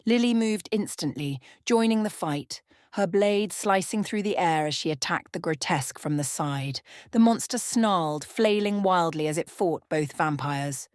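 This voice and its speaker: A woman's voice: lowish voice, moderate pace, hardly any pauses, no echo, clean studio sound. A woman storyteller reading a scripted bedtime tale in an ASMR narration style.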